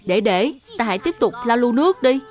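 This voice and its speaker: A woman's voice: on the high side, expressive, fairly quick, darkish tone, soft background music, natural voice. A woman voicing an earnest, questioning young boy who is an older brother, in a children's cartoon dub. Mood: concerned.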